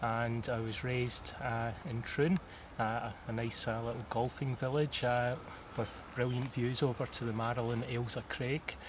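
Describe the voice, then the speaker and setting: Scottish man, 30s, interview guest, easygoing and halting, mid-range voice, audible background noise. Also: mid-range voice, flat delivery. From southwest Scotland. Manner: unhurried, with frequent "uh" pauses and occasional laughs. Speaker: mountaineer guest. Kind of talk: interview answers.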